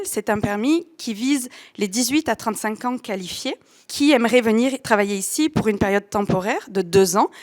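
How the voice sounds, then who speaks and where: French woman from marseille, accent toned down, explaining things step by step, voice a little on the high side. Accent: French, softened southern French. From Marseille, France. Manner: explanatory, orderly, occasional hesitations. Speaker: workforce recruitment agent. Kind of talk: informative presentation.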